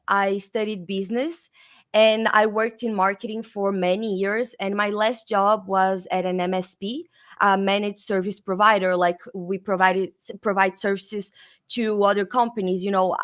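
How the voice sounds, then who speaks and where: Brief: Brazilian-accented woman, mid-pitched, chatty guest, lots of fillers, video call audio. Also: steady tone, moderate pace, fairly bright tone. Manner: chatty, many fillers and restarts. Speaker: guest, developer advocate. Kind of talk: casual interview chat.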